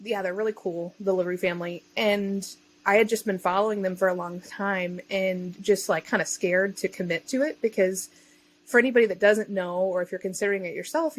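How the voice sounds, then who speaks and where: Woman, podcast guest, chatty, informal, conversational storytelling, mid-pitched voice. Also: poor audio. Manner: chatty, informal, self-interjecting. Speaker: self-employed digital marketer and online bookseller.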